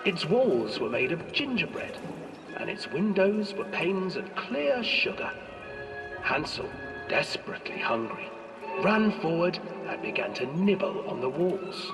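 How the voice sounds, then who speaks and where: An actor playing a theatrical storyteller, narrating a dark fairy tale for a video game with deliberate drama. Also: male voice, sits high, very animated, full character voice. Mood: theatrical, ominous. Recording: echoing hall, distant, music behind.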